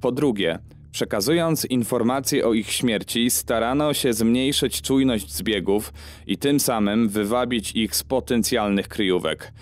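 A man's voice: mid-range voice, a little slow, lightly acted. A man playing an investigative journalist giving a scripted expert statement in an audio documentary. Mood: explaining. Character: male investigative journalist explaining his theory. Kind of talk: voiced expert quote in a true-crime audio documentary.

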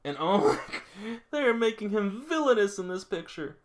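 A man's voice: sits high, big pitch swings, normal speed. A man reading a manga aloud with casual commentary, sometimes tripping over words and going back over them. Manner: stumbles and rereads lines, chuckles at the story. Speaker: manga read-along host. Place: small indoor room with figurines.

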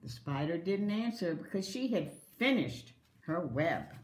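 An older woman reading a picture book aloud to young children in an animated storytelling manner. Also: on the low side, unhurried. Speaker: volunteer story reader. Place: dining room at home, indoors. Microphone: camera across the table. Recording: roomy home camera sound.

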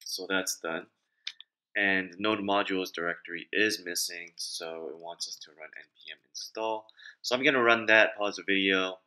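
Deep-voiced young man walking through code. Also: low-quality recording. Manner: talks through steps while typing, relaxed and informal. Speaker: coding tutorial instructor. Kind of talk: casual screen-recorded coding tutorial. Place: indoors at a desk, small room.

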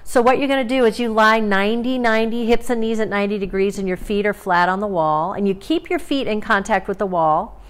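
Calm woman guiding exercises. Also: mid-range voice, a little fast, smooth. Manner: calm, instructive, guiding breath cues. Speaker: movement therapist. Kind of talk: exercise demonstration. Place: home exercise studio, indoors.

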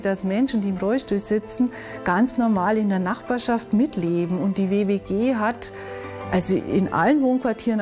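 A woman's voice: medium pitch, warm tone. Woman, 40s, explanatory interview on lapel mic, faint music. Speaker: social worker at a neighbourhood center. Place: community room, indoors.

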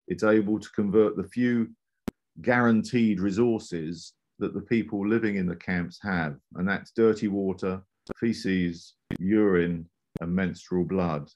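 A man's voice: deep voice, darkish tone. A man narrating a scripted slide presentation as a presenter.

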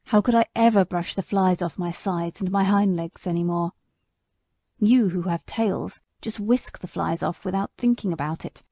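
A woman voicing a bitter, indignant old male horse in an audiobook, speaking slowly in a low, grave way. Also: vocal fry, dark tone, no room sound, clean studio sound. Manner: slow, low, grave delivery. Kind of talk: audiobook character voice.

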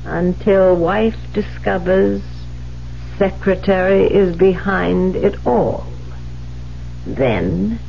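An actress in a 1970s radio drama playing a cold, unhinged woman writer who killed her husband, speaking deliberately and dramatically. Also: medium pitch, vocal fry. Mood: menacing. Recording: dull, noisy 1970s radio recording with hum.

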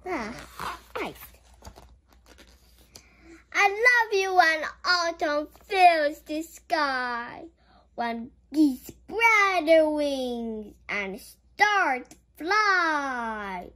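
Very high childlike voice reading a picture book. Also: animated, extremely slow, sharp tone. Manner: childlike, exclaiming with gasps and giggles. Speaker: children's show host. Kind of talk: picture-book read-aloud with playful commentary.

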